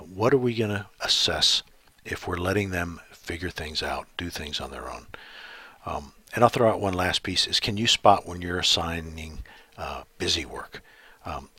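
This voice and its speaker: Deep husky man giving a talk. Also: moderate pace, sharp tone, dry recording. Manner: thoughtful, many ums and uhs. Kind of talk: presentation.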